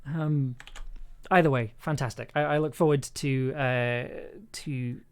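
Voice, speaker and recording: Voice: mid-range voice, fairly even intonation, a little slow. Audio producer streaming, casual chatty and really effusive while they edit. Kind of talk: casual livestream chat while editing audio.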